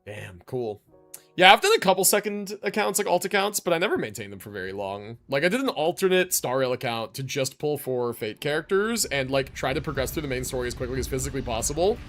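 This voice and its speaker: A man's voice: medium pitch, very animated, fast, a bit bright. A man livestreaming a video game, chatting casually and reacting in slangy, mildly sweary language. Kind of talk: casual livestream chat with gaming commentary. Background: video game music and dialogue.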